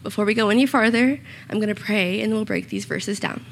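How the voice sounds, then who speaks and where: A young woman giving a short church sermon with a slightly raspy voice, earnest and personal, with small self-conscious asides. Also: medium pitch, lively intonation, a little fast, a little hiss or hum. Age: young adult. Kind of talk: sermon with scripture reading and prayer. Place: church room.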